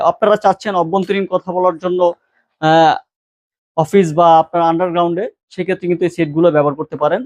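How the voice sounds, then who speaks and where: Fast, high-pitched Bangladeshi man selling products. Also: no room sound. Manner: salesman-like, repeats key words and prices. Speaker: shop seller presenting products. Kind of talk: informal product showcase with prices.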